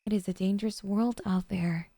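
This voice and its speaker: A woman playing a sweet, possessive, obsessive girlfriend in an ASMR roleplay, speaking softly and dotingly with a breathy intimacy. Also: medium pitch, fairly even intonation, normal speed, no echo, lightly acted.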